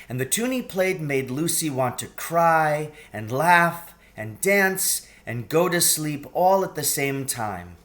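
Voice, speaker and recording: A man reading a children's story aloud in an expressive narrating style. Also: fairly high pitch. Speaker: audiobook reader.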